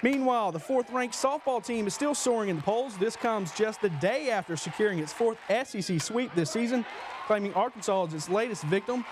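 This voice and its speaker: A man's voice: high-pitched, expressive, fairly quick, noisy background. A young man reading a scripted sports newscast as a TV anchor. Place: small TV news studio. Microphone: lapel mic.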